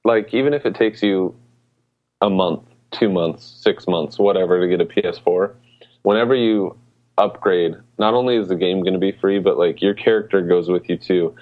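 A man with a low voice hosts a casual gaming podcast. He talks in a laid-back, conversational manner. The recording is a little dull and lo-fi. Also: normal speed. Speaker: podcast host.

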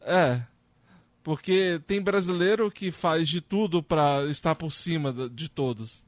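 Slightly high Brazilian man, friendly host. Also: unhurried, dry recording. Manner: friendly, laughs easily, stumbles and restarts. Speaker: podcast host. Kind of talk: casual chat.

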